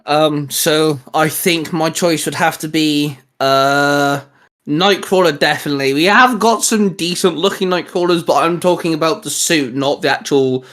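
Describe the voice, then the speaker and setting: A man's voice: on the high side, normal speed, a bit bright. A man hosting a casual, geeky panel chat, speaking informally and sometimes swearing. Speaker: host.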